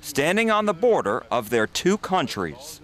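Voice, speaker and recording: A man's voice: a little high, big pitch swings, unhurried, noisy background. A man working as an investigative reporter, reading scripted news narration.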